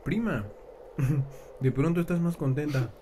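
A man's voice: on the high side, takes it slowly, warm tone, a little hiss or hum. A man playing an easygoing young best friend at a movie night in an ASMR roleplay, speaking from a little off mic.

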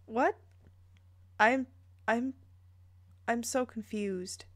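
A woman's voice: a little high, drawn-out words, dry recording, clean studio sound. A woman reading fan fiction aloud, dropping casual, incredulous, playful asides as she goes.

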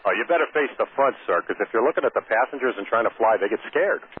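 A man's voice: medium pitch, lively intonation, fast talker. A man working as a wisecracking ground controller, talking over aviation radio. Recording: tinny aviation radio, faint static.

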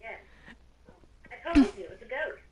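A woman's voice: a little high, expressive, a little slow, mellow, slight background noise, rough recording. A woman chatting in character during an improvised video game roleplay. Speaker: roleplay gamer. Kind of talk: improvised Minecraft roleplay chat.

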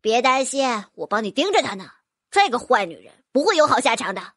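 A woman voicing a small, sharp-tongued magical pet beast with a mocking, scornful manner. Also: fairly high pitch, sing-song, fairly quick, dry recording, studio quality.

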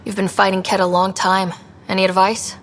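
A woman's voice: medium pitch, level tone, brisk, slight background noise, close to an everyday voice. A woman voicing a young space explorer in a video game, earnest, direct and full of probing questions. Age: young adult. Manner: direct, inquisitive. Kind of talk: video game dialogue acting.

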